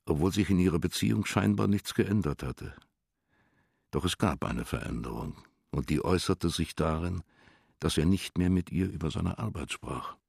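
Sehr tiefer, knarrender Erzähler. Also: male voice, deep voice, gravelly, a little mellow, husky, no echo, clean studio sound. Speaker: narrator. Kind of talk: scripted literary narration for a German radio drama.

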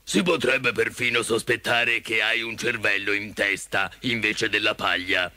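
A man voicing a big, gentle cowardly lion in a storybook, with a slow, sighing, mournful drawl. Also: fairly low pitch, fairly even intonation, noisy background. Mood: resolute, approving.

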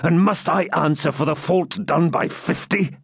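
A man's voice: a little high, moderate pace, vocal fry, slight background noise, voice pushed up. A man voicing a fierce, stubborn dying baron who growls out defiant speeches in an audiobook.

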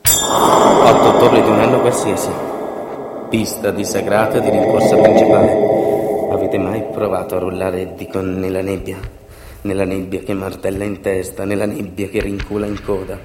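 A man delivering a dramatic theatrical monologue, intense and rhythmic, with repetitions and deliberate pauses. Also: sits low, flat delivery, very noisy. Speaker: stage actor and narrator. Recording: roomy, echoing sound.

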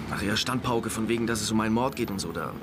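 An actor playing an evasive high school student confiding in police in a radio crime play, hesitant and colloquial. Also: male voice, racing, very noisy, lightly acted.

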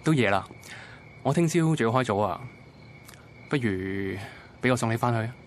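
An actor playing a quiet, considerate and gently flirtatious suitor in Hong Kong Cantonese in a radio drama. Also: male voice, mid-range voice, animated, normal speed, slight background noise, close to an everyday voice.

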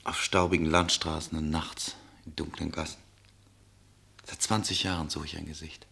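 A man's voice: extremely low pitch, little pitch movement, moderate pace, vocal fry, bright, forceful tone, husky, heavily acted. An actor playing a weary, romantic male traveller in a poetic radio play, earnest and lyrical in his delivery. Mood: tender, yearning.

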